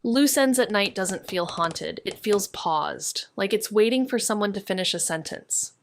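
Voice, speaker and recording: A woman's voice: mid-range voice, no echo, lightly acted. An actress playing a wry, guarded young woman, a former med student, in a naturalistic audio drama with dry, understated delivery. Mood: reflective.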